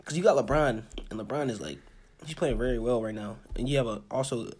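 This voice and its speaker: A young American man with a husky voice, co-hosting a casual sports chat in an informal, halting way and often repeating himself. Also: medium pitch, darkish tone. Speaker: podcast co-host.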